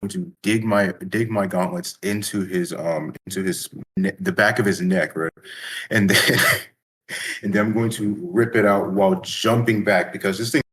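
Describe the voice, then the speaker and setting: A man playing a tabletop game, improvising action in a halting way and repeating phrases as he builds the scene. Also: deep voice, fairly even intonation, fairly bright tone, husky, rough recording.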